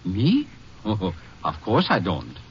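A man playing an indignant, flustered German immigrant farmer in a 1950s radio drama, speaking halting English with a German accent. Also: sits low, heavily acted. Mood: wary, obliging. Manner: halting, excitable, broken English. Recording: noisy, muffled old 1950s radio recording.

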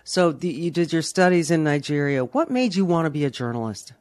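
A woman hosting a podcast interview, chatty and warm in manner, restarting her questions as she goes. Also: sits low, animated, a little fast, no echo, clean studio sound. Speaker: podcast host.

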